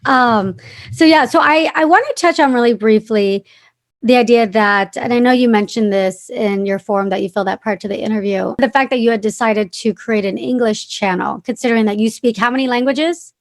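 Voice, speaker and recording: A woman's voice: fairly high pitch, a little fast. A woman hosting a podcast interview, talking casually with many fillers and agreeing often. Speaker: podcast host.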